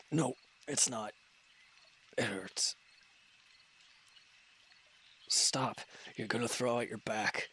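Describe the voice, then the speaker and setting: A man playing a wounded, irritable villain in an audio roleplay, gruff and curt but grudgingly caring, with an exasperated, grumbling delivery. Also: lowish voice, bright, forceful tone, slight background noise. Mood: pained, exasperated. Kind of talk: scripted audio roleplay acting.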